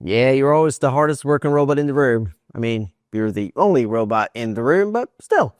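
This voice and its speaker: A man's voice: medium pitch, lively intonation, brisk, no room sound, clean studio sound. A man hosting a podcast, reading an upbeat scripted intro and then asking interview questions. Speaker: podcast host. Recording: music bed under the voice.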